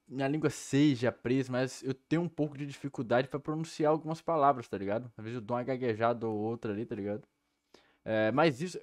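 A man's voice: mid-range voice, dry recording, studio quality. A young man with a Brazilian accent giving casual, slangy commentary as a reaction host on a headset mic. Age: young adult. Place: small room indoors.

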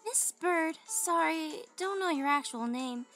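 Casting: female comic dub reader, fairly high voice, expressive scripted delivery over light music. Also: takes it slowly.

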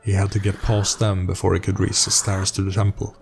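A man's voice: deep voice, flat delivery, brisk, vocal fry, noisy background. A man narrating a fantasy story in a scripted audiobook style, as its host. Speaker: narrator and host of a role-playing story series.

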